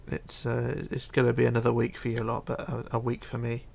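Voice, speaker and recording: A man hosting a casual livestream, reading chat aloud and chatting in a rambling, halting way. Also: mid-range voice, monotone, creaky, darkish tone. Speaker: Minecraft livestreamer.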